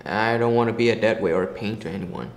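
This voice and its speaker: A man's voice: fairly low pitch, fast, natural voice. A young man playing a reluctant injured worker in an amateur scripted drama. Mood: subdued. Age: teenager or young adult. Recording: roomy echo, faint background noise.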